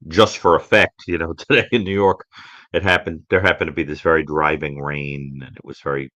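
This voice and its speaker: A man speaking reflectively as a guest expert in a live-stream conversation, musing and emphatic, halting and repeating phrases. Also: very deep voice, steady tone, bright, forceful tone, dry recording, dull audio.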